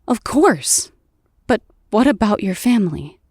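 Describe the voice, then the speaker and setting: A compassionate superheroine played by a woman speaks with gentle sincerity and frequent halts. Her medium-pitched voice takes its time, captured close and dry for audio drama dialogue. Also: big pitch swings, measured pace, slightly rough, dry recording. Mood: worried.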